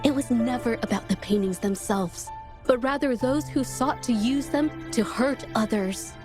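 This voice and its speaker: A woman playing a shy, earnest young female painter in an anime-style video game, gentle-hearted and sincere. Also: fairly high pitch, a little slow, natural voice. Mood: regretful, resolute. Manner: soft-hearted, earnest, hesitant when flustered. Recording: music behind the voice. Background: game soundtrack music.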